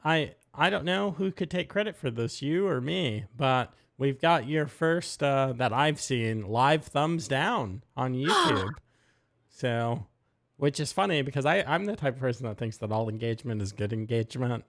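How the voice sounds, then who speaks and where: A man's voice: medium pitch, expressive, fairly bright tone. A man co-hosting a live talk show, giving blunt, opinionated takes in casual chat. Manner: blunt, opinionated, quick asides. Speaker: podcast co-host.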